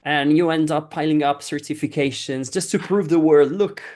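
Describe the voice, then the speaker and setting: A man's voice: fairly high pitch, moderate pace, darkish tone. A man hosting a podcast in casual conversation, talking hesitantly with frequent restarts and fillers. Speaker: podcast host.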